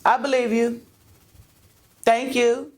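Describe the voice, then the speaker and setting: A woman's voice: a little high, flat delivery, takes it slowly, a little mellow. A woman in her thirties co-hosts a casual podcast. She teases her co-host and laughs often, in a chatty, playful way. Faint noise sits under her voice. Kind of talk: casual chat.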